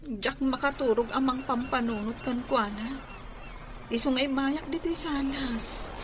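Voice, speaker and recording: A long-suffering young Filipino wife in a radio drama. She is gentle and anxious, with a high-pitched voice. Clear noise sits behind her. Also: a little slow. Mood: worried. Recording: noisy radio drama track with ambience.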